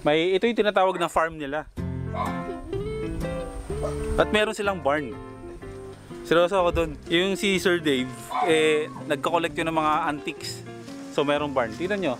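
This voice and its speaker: A man's voice: fairly high pitch, moderate pace, pauses often. A man in his thirties from Aklan, chatting and joking as he vlogs outdoors on a mango farm, filming himself at arm's length. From Aklan, Philippines. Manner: chatty, joking, laughs often. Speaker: vlogger. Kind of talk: casual vlog chat. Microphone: handheld camera at arm's length. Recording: background music under voice.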